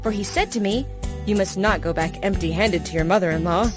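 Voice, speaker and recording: Casting: actress as dutiful young biblical widow, earnest, medium pitch, clear music beneath. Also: female voice, fairly quick, close to an everyday voice. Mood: earnest, pleased. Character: Ruth, dutiful young widowed daughter-in-law. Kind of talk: dramatized scripture reading.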